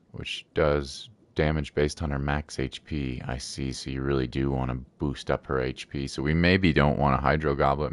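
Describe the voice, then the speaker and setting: A man giving laid-back gaming commentary in casual, muttered asides. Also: rumbling low. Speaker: gamer streaming a playthrough.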